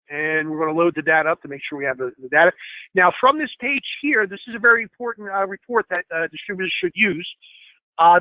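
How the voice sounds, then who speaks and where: A man gives a screen-share software training, explaining each step with plenty of fillers and a slightly hoarse voice. His voice is fairly high for a man and he talks quickly. The sound is thin and tinny, like a phone line, and very dry. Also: no room sound. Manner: explanatory, many fillers, asks for questions. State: slightly hoarse, clearing throat.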